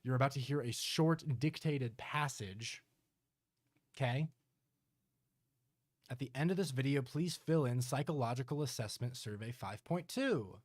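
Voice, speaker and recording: A man's voice: mid-range voice, measured pace, pauses often, darkish tone, no echo. A man streams his reactions in a casual, conversational way. He is sarcastic and jokes as he comments. The sound is close and dry. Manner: sarcastic, joking. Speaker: streamer reacting to horror videos.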